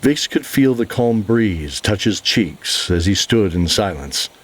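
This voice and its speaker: A man's voice: lowish voice, expressive, a little slow, husky. A man working as narrator, reading scripted fantasy narration. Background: music.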